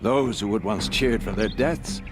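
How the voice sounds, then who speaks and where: An actor playing a grave, courteous keeper of a hunters' lodge in a video game, speaking formally like a solemn storyteller. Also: male voice, lowish voice, measured pace, natural voice. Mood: solemn recounting. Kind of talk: dramatic video game dialogue. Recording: music behind the voice.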